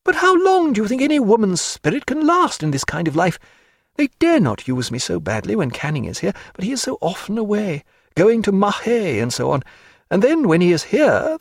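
A man's voice: high-pitched, huge pitch swings, moderate pace, dry recording, clean studio sound, pitched above the performer's own voice, full character voice. A man reading a spirited, proud young woman in an audiobook, fiery and theatrical in manner, swinging from bitter to tender.

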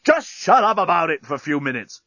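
A man's voice: medium pitch, sing-song, moderate pace, slightly rough, no room sound, muffled recording. A man voicing a jealous, exasperated human man in an audiobook, speaking in a terse, indignant manner. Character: jealous, exasperated human man, Lucy's long-time boyfriend.

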